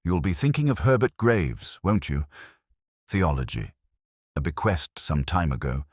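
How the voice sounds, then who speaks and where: A bookish elderly college archivist, a woman read by a male narrator, muses in a murmur within a detective audio story. The voice sits very low and is recorded dry and close. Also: sing-song, a little slow, a little mellow, no room sound, heavily acted. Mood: musing. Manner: murmured, musing.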